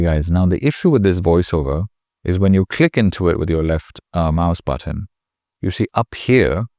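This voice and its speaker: A man with a slightly nasal voice, casually talking through a software tutorial in a chatty, rambling way. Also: low voice, dry recording, clean studio sound. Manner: chatty, rambling, self-correcting. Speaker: tutorial host. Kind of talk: casual screen-recording tutorial.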